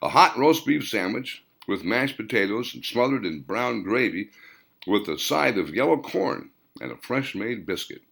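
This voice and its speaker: A man's voice: normal speed, gravelly, slightly rough. A man narrating his own novel as an audiobook, telling it expressively. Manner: expressive storytelling with wide pitch swings. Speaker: author and narrator.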